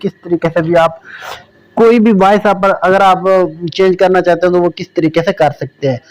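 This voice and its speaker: A man's voice: sits high, steady tone, normal speed, low-quality recording. A man narrating an informal app tutorial as the host of a video.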